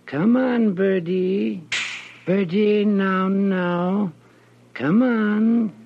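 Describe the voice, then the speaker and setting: An actor playing an eccentric, fussy snake expert with a creaky, raspy voice and a folksy, coaxing manner, in 1940s radio style. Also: male voice, high-pitched, extremely slow, slight background noise, full character voice. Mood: soothing, coaxing. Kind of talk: 1940s radio character acting. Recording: dull old radio recording.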